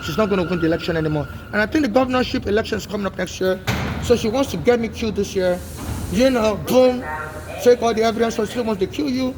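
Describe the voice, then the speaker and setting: A man's voice: high voice, fast, crowd noise, low-quality recording. A man from Nigeria with a Nigerian-tinged American accent, talking in an urgent, repetitive street monologue with many restarts. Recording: street noise outdoors.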